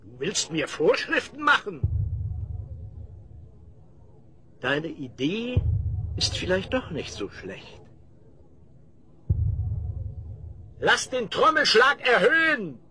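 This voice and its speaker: Sharp, barking overseer. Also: male voice, sits high, huge pitch swings, unhurried, full character voice. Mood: gruff, suspicious. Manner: barking, domineering. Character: harsh bullying male chief overseer of prisoners. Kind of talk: children's fantasy radio play acting.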